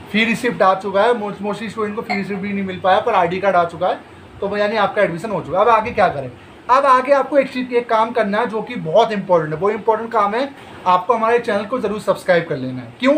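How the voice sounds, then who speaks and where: A man with a high-pitched voice talks straight to students as the host of an education channel. He asks himself questions and answers them in a reassuring way. A faint background noise sits under the recording. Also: rough recording. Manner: direct, reassuring, rhetorical questions. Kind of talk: explainer talk to students.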